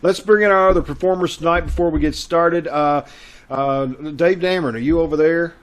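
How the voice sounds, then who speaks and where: A man's voice: a little high, a little fast. A man hosting a show over a video call, chatting in a folksy, casual way as he welcomes and announces. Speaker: host.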